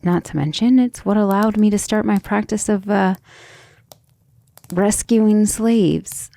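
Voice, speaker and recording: A woman playing a gentle, earnest, devoted female vampire in an intimate ASMR roleplay, speaking tenderly and a little hesitantly. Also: medium pitch, slow pace, no room sound. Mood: troubled, doubting. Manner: soft, hesitant, tender, often breathy near-whisper.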